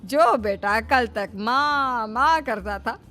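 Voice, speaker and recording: A woman's voice: on the high side, slow pace, sharp tone. Woman acting indignant ailing old mother-in-law. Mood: aggrieved, bewildered. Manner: indignant, theatrical complaining. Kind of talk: cartoon family drama acting.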